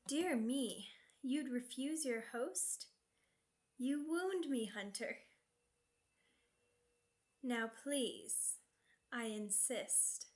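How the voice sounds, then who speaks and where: Woman playing a slow-speaking, seductive vampire noblewoman. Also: high-pitched. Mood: courtly, insistent. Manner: formal, teasing, savoring each phrase. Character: sadistic, seductive vampire noblewoman, predatory yet courteous. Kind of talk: ASMR roleplay acting.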